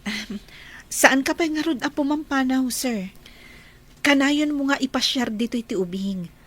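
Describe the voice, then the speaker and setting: A woman playing a gentle young woman who cares for a frightened child, polite and soft-spoken. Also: high voice, moderate pace. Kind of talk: radio drama acting.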